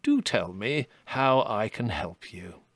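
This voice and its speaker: A man's voice: on the low side, animated, slow, a bit bright, no room sound, exaggerated voice. A man voicing an aging chief rabbit in a storybook, drawling, over-polite, detached and condescending. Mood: gracious, vague. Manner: drawling, over-polite, unruffled, with musing repetitions.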